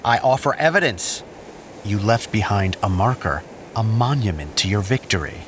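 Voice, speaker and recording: Man acting a low-voiced, bitter exiled brother. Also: animated, natural voice. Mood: grave, accusing. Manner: measured, theatrical, dramatic pauses. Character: exiled bitter brother, cryptic accused schemer demanding sanctuary. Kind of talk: audio drama acting. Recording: faint background noise.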